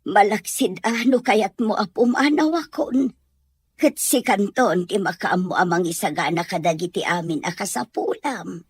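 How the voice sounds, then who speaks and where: Actress as a husky, humble household maid. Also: female voice, mid-range voice, normal speed, no echo, lightly acted. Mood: meek, attentive. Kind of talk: Ilocano radio drama acting.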